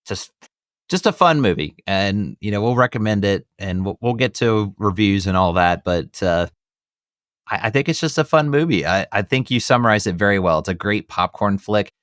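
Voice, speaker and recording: A man's voice: on the low side, lively intonation, normal speed, fairly bright tone, dry recording, clean studio sound. A man hosting a movie review podcast in casual conversation, often hedging and circling back over his words. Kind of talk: casual film review chat.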